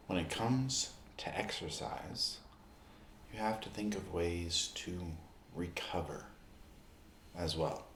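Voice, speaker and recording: A man performing an ASMR roleplay as a friendly amateur basketball player, speaking in short, deliberate, halting phrases as he shows objects. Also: low-pitched, a bit bright. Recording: roomy echo, clear background noise.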